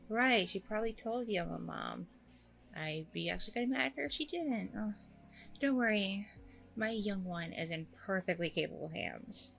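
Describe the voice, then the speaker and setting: A woman's voice: fairly low pitch, gravelly, fairly bright tone, heavily acted. A woman playing a friendly, nervous single mom on a blind date in an ASMR roleplay, rambling casually in a bubbly way. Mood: relieved, reassuring. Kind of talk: ASMR audio roleplay, conversational.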